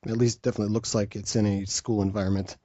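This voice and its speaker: A man's voice: fairly low pitch, flat delivery, fast talker, dark tone, no echo. A man interviewing a guest with casual, conversational questions. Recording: dull, dry lo-fi audio.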